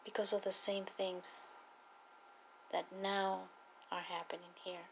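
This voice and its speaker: A woman from Cuba with a Cuban accent, a native Spanish speaker, giving an earnest, emphatic personal testimony and political appeal with deliberate repetition. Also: medium pitch, little pitch movement, gravelly, slight background noise, low-quality recording. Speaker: political activist speaking to viewers.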